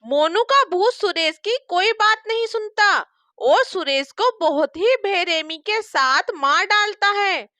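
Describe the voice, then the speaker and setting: Very high-pitched woman storyteller, unhurried. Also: expressive, pushed and bright, no echo. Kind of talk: scripted story narration.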